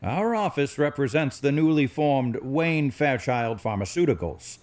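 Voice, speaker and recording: Male actor for earnest senior lawyer in audio drama. Lively rise and fall, polite, conciliatory, formal. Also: mid-range voice, a little slow, lightly acted. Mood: courteous.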